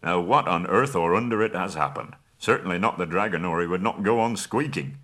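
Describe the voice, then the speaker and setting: A man's voice: deep voice, fairly quick, fairly bright tone, slight background noise. A man reading aloud and voicing a proud, commanding male dwarf king in a stern, declaiming manner. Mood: gruff, impatient.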